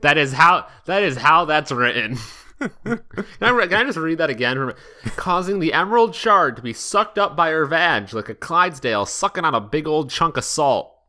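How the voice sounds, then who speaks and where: A man's voice: fairly high pitch, animated, normal speed, bright, forceful tone. A man co-hosting a podcast, reading aloud casually and joking crudely with an amused delivery. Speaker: podcast co-host. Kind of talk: casual comedic reading with banter.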